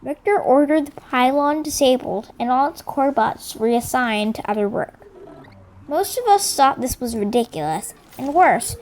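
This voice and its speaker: A woman's voice: high-pitched, animated, moderate pace, darkish tone, a little hiss or hum, rough recording. A woman voicing an earnest female rebel robot, solemnly reading dramatic logs of an uprising. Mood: earnest, reflective. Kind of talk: scripted dramatic log narration. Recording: music behind the voice.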